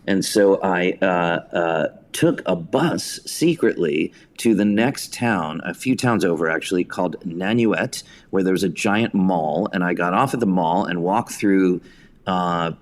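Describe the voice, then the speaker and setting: A middle-aged American man from New Jersey tells anecdotes as an interview guest in a self-deprecating, halting way, acting out quotes. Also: low voice. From New Jersey, United States. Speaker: podcast guest, theater director and writer.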